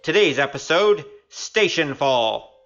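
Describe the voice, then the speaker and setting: A man's voice: on the high side, expressive, takes it slowly, fairly bright tone, lo-fi sound, faint music behind. A man reading scripted opening credits as the announcer of an audio drama.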